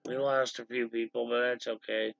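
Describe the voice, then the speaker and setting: A man with a gravelly voice hosting a casual livestream, talking animatedly and with exasperation to his chat. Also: mid-range voice. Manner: animated, exasperated, quick asides to the stream chat. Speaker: livestream host. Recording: slightly dull lo-fi stream audio.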